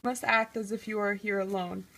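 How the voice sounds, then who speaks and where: A woman acts out an officious old male counsellor at a royal court. The voice is mid-pitched, and the style is homemade scripted puppet drama. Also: little pitch movement, brisk, gravelly, fairly bright tone, low-quality recording. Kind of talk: amateur scripted puppet-show acting.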